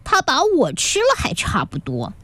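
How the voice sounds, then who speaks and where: Touchy bragging little boy in a bedtime story, woman voicing him, high and bouncy, dry close sound. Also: wildly swooping pitch, a bit bright, no echo, full character voice. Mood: boastful. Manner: indignant, quick to take offense. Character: sulky boastful little boy.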